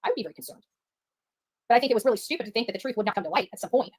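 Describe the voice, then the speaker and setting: High voiced lady livestreamer talking fast, rambly, emphatic, repeats herself, dry sound. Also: animated, breathy edge, no echo. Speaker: livestream host reading viewer chat. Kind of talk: rambling live-stream chat.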